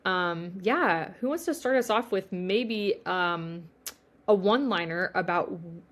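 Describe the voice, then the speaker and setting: A woman's voice: fairly low pitch, measured pace, a bit bright. A woman in her late twenties hosting a family podcast, chatty and steering the talk with plenty of fillers. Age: 20s. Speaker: podcast host.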